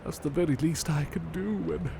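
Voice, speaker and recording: A man playing a gentle, bashful butler turned into a cuddly plush doll in an ASMR roleplay, speaking tenderly and politely with hesitant pauses. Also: a little high, creaky, noisy background. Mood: sleepy, affectionate. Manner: hesitant, polite, tender, halting with soft repetitions. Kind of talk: ASMR roleplay, soothing personal attention.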